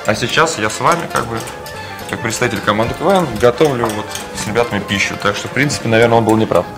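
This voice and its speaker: A man rattles through a story at a brisk pace, then tosses quick quips at the cook. His voice is mid-pitched, with music under the kitchen chatter. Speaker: comedy team guest. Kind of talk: anecdote and studio banter. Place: studio kitchen set.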